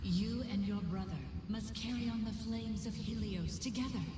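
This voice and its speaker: An actress playing a resolute, mysterious yet caring woman mentor, speaking gravely and formally with an echoing effect in a video game drama. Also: fairly high pitch. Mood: solemn, tender. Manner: grave, measured, formal speech. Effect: heavy echo, like a memory or vision. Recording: cavernous echo and long reverb. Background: music.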